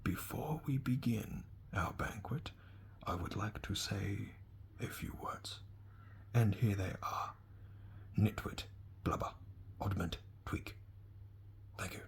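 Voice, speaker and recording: A man voicing an elderly, eccentric headmaster wizard, speaking slowly in a soft, breathy whisper. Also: lowish voice. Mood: whimsical. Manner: slow, gentle, whimsical.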